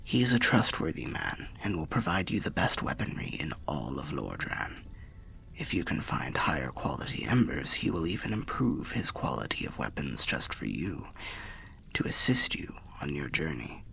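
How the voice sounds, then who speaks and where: A man voicing a weary old undead wanderer telling fantasy tales in ASMR roleplay, half whispered and gravelly, with a measured, wry, old-fashioned way of speaking. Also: sing-song, pushed and bright, heavily acted. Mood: fond, hushed. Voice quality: breathy whispering, gravelly low voice. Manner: measured, archaic, wry storyteller.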